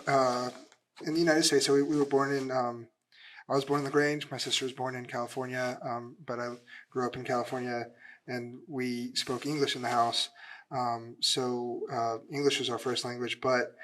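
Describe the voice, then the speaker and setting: A man's voice: medium pitch, fairly bright tone, low-quality recording. A young man answering on a school panel, rambling with many fillers and restarts.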